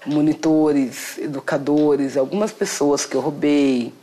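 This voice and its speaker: A woman's voice: sits low, fairly even intonation, measured pace, warm tone, slight background noise. A woman from Brazil with a Brazilian accent, giving conversational personal testimony as an interviewee. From São Paulo, Brazil. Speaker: interviewee, writer telling her life story.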